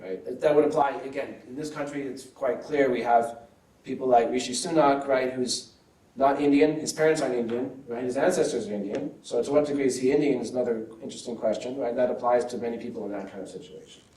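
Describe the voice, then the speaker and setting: A male academic answers audience questions at a measured, thoughtful pace, often adding a checking "right?". His voice is mellow and a little dark. It sounds distant from the mic, in a reverberant room. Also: medium pitch, fairly even intonation. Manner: thoughtful, frequent "right?" tags.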